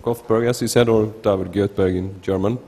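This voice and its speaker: A man's voice: lowish voice, fairly even intonation, normal speed, dark tone. Swedish-accented man in a reverberant hall. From Sweden. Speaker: researcher presenting at a conference. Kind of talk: informal technical conference talk. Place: large indoor conference hall, at a lectern. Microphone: gooseneck lectern microphone.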